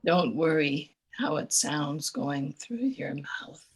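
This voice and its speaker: A woman with a raspy voice reading a poem aloud slowly and softly over a video call. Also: deep voice, expressive. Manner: soft, slow, deliberate pauses. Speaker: poetry reader.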